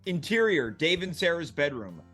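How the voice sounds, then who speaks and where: A man's voice: a little high, very animated, normal speed, sharp tone, soft background music. A man hosting a table read, moving between scripted narration and casual banter.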